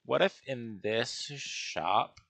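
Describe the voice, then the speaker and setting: A man with a gravelly voice giving casual gaming commentary as he builds, musing and hesitating as he talks to himself. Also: mid-range voice, extremely slow, a bit bright, no echo. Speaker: gaming video host. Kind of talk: casual Minecraft building commentary, thinking aloud.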